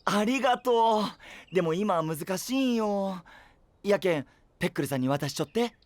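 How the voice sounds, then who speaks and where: A man's voice: sits high, animated, moderate pace. A man playing an earnest, proud, devoted young knight in an audio drama, narrating his quest, with an Oita Kyushu dialect in dialogue. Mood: warm. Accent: Oita, Kyushu Japanese dialect in dialogue. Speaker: voice actor. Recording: studio voice over prominent background music.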